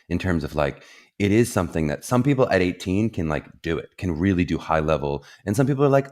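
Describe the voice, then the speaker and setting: Earnest male podcast host, extremely deep voice, reflective. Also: darkish tone. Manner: reflective, earnest, many fillers. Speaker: podcast host, theater program director. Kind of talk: casual podcast interview.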